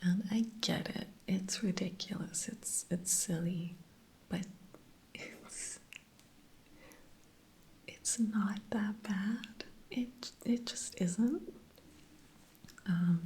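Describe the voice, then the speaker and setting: A woman whispering softly throughout, recapping TV episodes in a chatty ASMR style. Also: medium pitch, slow, long pauses. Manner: soft unvoiced whisper throughout, chatty with fillers. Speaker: TV episode reviewer.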